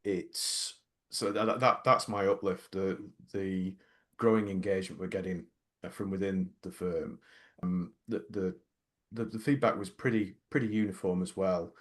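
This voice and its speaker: A man's voice: sits low, fairly even intonation, a little slow, a bit bright. A middle-aged man co-hosting a casual workplace podcast. He speaks hesitantly, with many repeated words and restarts. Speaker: podcast co-host.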